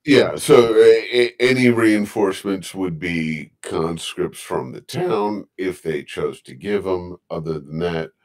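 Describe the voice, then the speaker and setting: A man's voice: low voice, takes it slowly, few pauses. A man playing in an online tabletop role-playing game, talking casually and stopping often to restart his sentences. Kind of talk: casual tabletop chat, partly in character.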